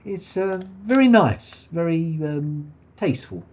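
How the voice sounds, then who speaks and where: An actress playing a remorseful, awkward driver weighed down by guilt in a naturalistic radio drama, speaking with hesitant stammers. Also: female voice, on the low side, animated, unhurried, a little mellow. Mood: subdued, awkward. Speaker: radio play actor.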